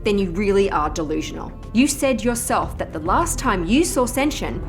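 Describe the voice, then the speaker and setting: A woman's voice: mid-range voice, sing-song, normal speed, over music. An actress playing a blunt, bitter female soldier with a cutting, combative delivery in an audio drama. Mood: icy scorn. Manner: cutting, scornful. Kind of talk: full-cast audio drama acting.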